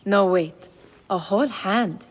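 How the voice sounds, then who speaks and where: A woman's voice: mid-range voice, slow pace. A woman playing a cheeky young thief in a video game, teasing with playful sarcasm in a reverberant space. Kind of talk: video game character acting.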